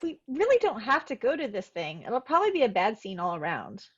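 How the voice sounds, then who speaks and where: A woman's voice: on the high side, huge pitch swings, a little fast, husky, heavily acted. A woman playing a guarded, cool young love interest, understated, in a table read with dull, lo-fi sound. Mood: hesitant. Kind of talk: amateur screenplay table read.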